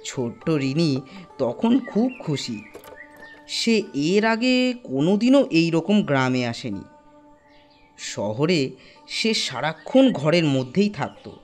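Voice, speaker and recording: A man narrating a scripted ghost story in a deliberate, dramatic storytelling manner. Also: fairly high pitch.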